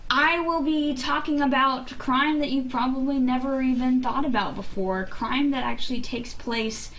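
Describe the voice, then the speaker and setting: A woman's voice: high voice, moderate pace, a bit bright, slight background noise, low-quality recording. A woman who grew up in Zambia, co-hosting a casual true crime podcast and explaining cases at length with fillers. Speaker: podcast co-host.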